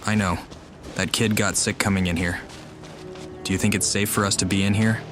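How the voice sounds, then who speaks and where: A man's voice: on the low side, little pitch movement, normal speed. A man giving casual, musing commentary as he plays a video game. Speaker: gamer commenting on a playthrough. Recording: game music behind the voice.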